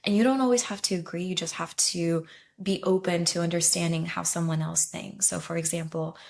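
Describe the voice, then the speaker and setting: A fairly low-voiced young woman from Ukraine chats as an interview guest. She talks loosely, hedging with ums and restarting her sentences, and laughs easily. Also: fairly even intonation, brisk, creaky. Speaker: guest, travel consultant. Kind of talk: casual interview conversation.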